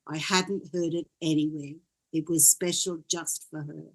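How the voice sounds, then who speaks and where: An older woman from Wales, a guest in an interview, telling her story in a gentle, reflective way. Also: deep voice, steady tone, a little slow. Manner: gentle, reflective, laughs often.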